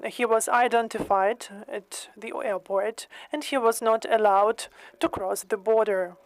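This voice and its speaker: A woman hosting and interpreting into English, speaking carefully with many pauses and fillers. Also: on the high side, fairly even intonation, poor audio. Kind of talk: slow consecutive interpreting in English.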